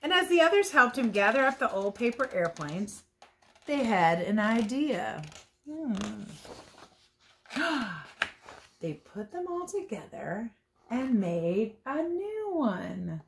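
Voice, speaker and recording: A woman's voice: on the high side, sing-song, slow, a bit bright, a little hiss or hum. Woman reading a storybook with playful asides. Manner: playful, chatty, commenting on the pictures as she goes. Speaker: teacher reading a picture book aloud. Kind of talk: storybook read-aloud with casual asides.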